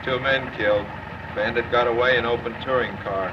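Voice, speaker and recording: A businesslike male dispatcher, played by an actor, gives clipped bulletins at medium pitch. The 1930s film sound is dull and clearly noisy. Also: fairly even intonation, a little fast, lightly acted. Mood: official, urgent. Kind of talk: 1930s film radio announcement.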